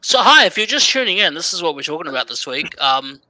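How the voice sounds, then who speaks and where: A man's voice: on the high side, very animated, fast, pushed and bright, dry recording, poor audio. A man with a New Zealand accent bantering casually as a podcast host.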